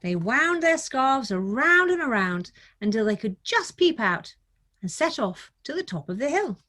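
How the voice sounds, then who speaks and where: A woman's voice: high voice, big pitch swings, unhurried, bright, forceful tone. A middle-aged woman who is a children's author, telling and reading a story for young listeners. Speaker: guest author reading her children's book. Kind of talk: casual storytelling, then read-aloud of a picture book.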